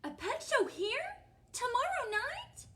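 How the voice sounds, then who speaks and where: A woman's voice: high-pitched, slow pace, slight background noise, pitched above the performer's own voice, exaggerated voice. A woman voicing a gleeful, theatrical witch from a children's storybook. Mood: excited. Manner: excited, theatrical, cackling. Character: gleeful cackling female witch, doting on her cat.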